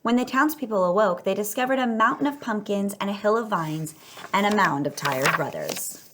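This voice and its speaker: A woman's voice: mid-range voice, lively intonation, moderate pace, fairly bright tone, slight background noise. A woman reading a children's picture book aloud, telling it expressively and stretching words for emphasis. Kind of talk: read-aloud children's story narration.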